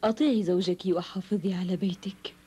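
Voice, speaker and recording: A woman playing a gentle, weary elderly mother in a cartoon dub, tender, reassuring and measured in formal Arabic. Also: lowish voice, steady tone, natural voice. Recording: noisy, hissy old cartoon soundtrack.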